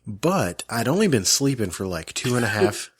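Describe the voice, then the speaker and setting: A man's voice: lowish voice, big pitch swings, moderate pace, breathy edge. A man co-hosting a casual podcast with his wife, joking around with goofy asides while getting over a cold. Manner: joking, goofy, many restarts. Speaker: podcast co-host. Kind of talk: casual chat.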